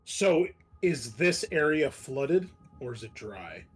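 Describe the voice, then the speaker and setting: A man's voice: mid-range voice, very animated, slow pace, slightly rough. A man taking part as a player in a tabletop roleplaying game, chatting casually through his character's moves.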